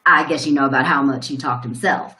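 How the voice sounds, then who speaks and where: Rural farmer witness, played by a woman, low and bright, folksy radio drama storyteller. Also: fairly quick. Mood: folksy, puzzled. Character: plain-spoken rural male farmer recounting a shock. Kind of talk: radio play acting. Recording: roomy, echoing sound.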